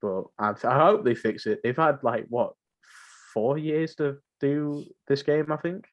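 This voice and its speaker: Male, seventeen, mid-pitched voice. Informal podcast co-host, slangy and jokey, chatting casually. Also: measured pace. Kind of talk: casual chat about video games.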